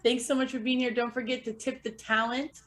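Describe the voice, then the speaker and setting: A woman with a slightly high voice hosts a live talk and music show. She is upbeat and laughs often as she chats with her guest. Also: level tone, a little fast, a bit bright. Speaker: radio host and interviewer. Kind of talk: casual interview chat.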